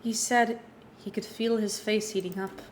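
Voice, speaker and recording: Female streamer, narrating a game aloud, playful asides, mid-pitched voice, noisy recording. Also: normal speed. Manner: lively narration with playful asides and self-corrections. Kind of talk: reading a visual novel aloud on a livestream.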